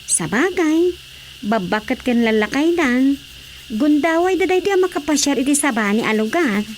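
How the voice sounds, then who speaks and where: High, lively Ilocano woman, played by an actress. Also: normal speed, a little hiss or hum. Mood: curious, reacting. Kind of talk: Ilocano radio drama comedy acting.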